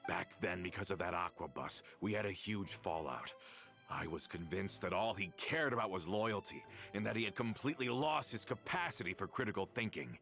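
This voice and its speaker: An actor playing a bitter, vengeful middle-aged man in a game cutscene, accusing and resentful and rising to shouts, with a gravelly voice. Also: low-pitched, lively intonation, moderate pace, faint music behind. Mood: accusing, furious. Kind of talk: dubbed game voice acting.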